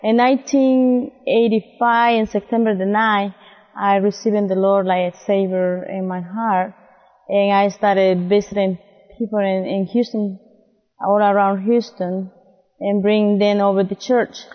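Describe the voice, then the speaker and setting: Woman in halting English. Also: medium pitch, little pitch movement, in a large hall, muffled recording. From El Salvador. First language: Spanish. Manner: halting, simple non-native English. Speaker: interview guest, missionary.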